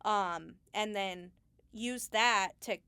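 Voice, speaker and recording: Female interview guest, medium pitch, casual and explanatory, somewhat halting, recorded very dry. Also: pushed and bright, smooth, no echo. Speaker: Foley artist, interview guest.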